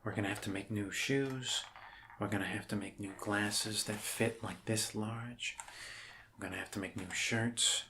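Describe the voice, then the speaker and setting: A man doing soft, improvised ASMR roleplay as a bumbling, friendly doctor, rambling and apologetic in a scatterbrained way. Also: fairly low pitch, fairly even intonation, a little slow, a little hiss or hum. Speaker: ASMR performer playing a doctor.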